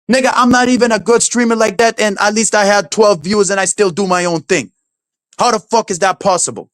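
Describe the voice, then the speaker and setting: A man's voice: high-pitched, no room sound, studio quality. Heated Crucian-accented man ranting. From St. Croix, US Virgin Islands. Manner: heated, profane, taunting and threatening. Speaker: livestreamer. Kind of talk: angry livestream rant. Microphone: streaming setup.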